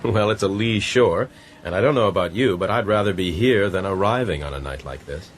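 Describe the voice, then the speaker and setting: An actor playing an earnest, protective gentleman castaway who is finding his resolve, in a radio drama. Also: deep voice, a little fast, slight background noise, natural voice. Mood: concerned.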